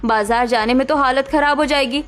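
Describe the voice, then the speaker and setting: A woman voicing a tired, patient elder daughter-in-law who soothes and warns gently. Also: high-pitched, fairly even intonation, a little fast, natural voice. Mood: weary.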